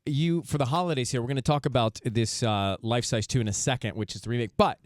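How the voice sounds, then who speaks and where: A male radio host chatting casually with a guest, thinking out loud as he talks. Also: mid-range voice, fast talker, no echo, clean studio sound. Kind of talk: casual radio interview chat.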